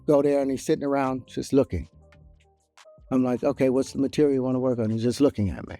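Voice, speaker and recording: Guy telling a story casual, halting, really dark mellow voice, faint music. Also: mid-range voice, fairly even intonation. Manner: halting, conversational. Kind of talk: casual anecdote.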